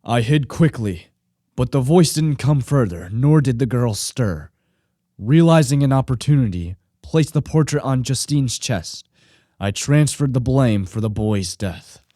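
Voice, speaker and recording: A man's voice: medium pitch, animated, a little slow, warm tone, no room sound, clean studio sound. A man acting a lonely, vengeful monster in a radio play, speaking in a grave, formal, aggrieved way. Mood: brooding, bitter. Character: lonely, vengeful male monster created by a scientist. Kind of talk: radio play narration and dialogue.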